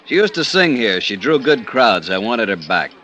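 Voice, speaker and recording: An actor playing a defensive supper club manager giving curt, guarded answers in an old radio drama. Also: male voice, on the low side, very animated, normal speed, sharp tone. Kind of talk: 1950s radio drama acting.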